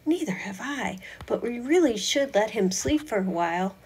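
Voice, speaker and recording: A woman's voice: a little high, expressive, normal speed, husky, pitched above the performer's own voice, exaggerated voice. A woman voicing a kind, fair-minded small animal in a storybook, speaking with worried, pleading concern. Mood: caring. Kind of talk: storybook read-aloud character voice.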